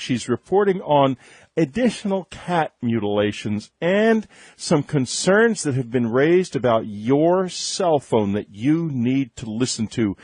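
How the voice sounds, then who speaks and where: Male announcer, scripted radio intro, smooth read, dry close studio sound. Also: medium pitch, a little mellow, no room sound. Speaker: show announcer.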